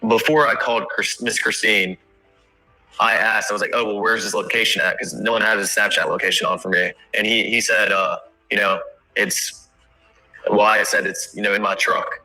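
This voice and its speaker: A young man answering interview questions casually, halting and rambling as he keeps correcting himself. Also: fairly low pitch, little pitch movement, sharp tone, soft background music, low-quality recording.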